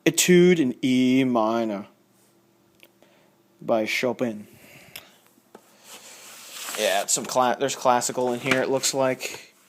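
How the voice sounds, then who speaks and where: A man talks in a medium-pitched voice, casually and without a script. He rambles a little, thinking aloud and reading labels out as a collector showing off finds would. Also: slow, long pauses, slight background noise. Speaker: record collector showing a haul. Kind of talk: casual unscripted show-and-tell commentary.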